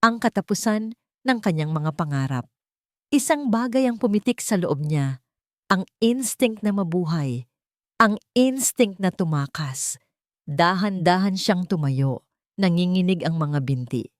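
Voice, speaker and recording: A woman's voice: lowish voice, animated, measured pace, dry recording, studio quality. A woman reading a scripted love story as a dramatic narrator.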